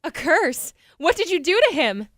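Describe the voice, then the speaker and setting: A woman's voice: sits high, sing-song, normal speed, fairly bright tone, dry recording. A woman playing a defiant, distressed young captive princess in an audio drama, emotional and indignant. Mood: angry. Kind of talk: fan audio drama acting.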